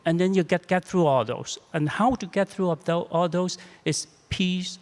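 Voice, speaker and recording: An older clinician with a Chinese accent talks in a fairly high voice, halting and repeating himself as he thinks aloud. His words ring with a long reverb, as if in a large hall. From China. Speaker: clinician on a panel. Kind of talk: panel discussion.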